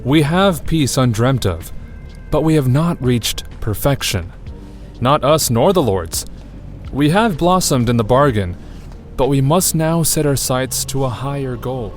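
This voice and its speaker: An actor playing an earnest, idealistic pundit in an audio drama, speaking in a lofty, measured manner. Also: male voice, mid-range voice, animated, faint music behind, natural voice. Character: earnest, idealistic male pundit praising a new order. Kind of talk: scripted discussion in audio drama.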